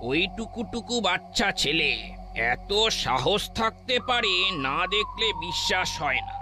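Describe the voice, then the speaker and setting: A man's voice: high voice, animated, measured pace, music in the background. A man voicing a suspicious village gossip in a cartoon, recounting things like a storyteller. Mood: intrigued. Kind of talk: cartoon dialogue.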